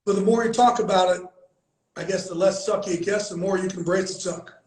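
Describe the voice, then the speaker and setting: A middle-aged man with a fairly high voice talks bluntly and earnestly, swearing freely. His words ring in an echoey room. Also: fairly even intonation, quick pace, darkish tone. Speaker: host, founder of a veterans and players group. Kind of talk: candid conversation.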